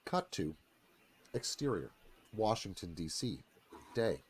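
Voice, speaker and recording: A man's voice: sits low, sing-song, takes it slowly, slight background noise. A man giving a dramatic scripted narration, reading stage directions as narrator.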